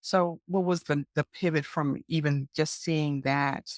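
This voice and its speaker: A woman's voice: low-pitched, a little slow, no echo. Dry-miked woman hosting a podcast. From Georgia, US. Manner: animated, encouraging, lots of affirming backchannels. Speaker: podcast host. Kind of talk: casual interview chat.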